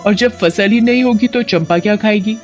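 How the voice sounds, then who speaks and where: A woman's voice: medium pitch, moderate pace, faint music behind, lightly acted. A woman playing a naive, good-hearted young village woman in a Hindi cartoon story, speaking simply and earnestly. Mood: eager, pleading. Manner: simple, chatty, deferential, earnest. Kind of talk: Hindi animated story voice acting.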